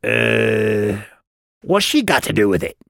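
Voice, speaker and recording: A man's voice: on the low side, sing-song, a bit bright, no room sound, clean studio sound, heavily acted. A man voicing a squat, dim-witted, surly goblin guard who blusters and speaks in crude, muddled slang, in an audiobook story. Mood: puzzled. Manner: blustering, halting, muddled speech with rough British-style slang.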